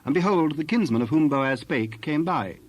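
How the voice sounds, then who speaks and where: A man's voice: a little high, lively intonation, moderate pace. A man narrating a dramatized Bible story in archaic English, reading from a script.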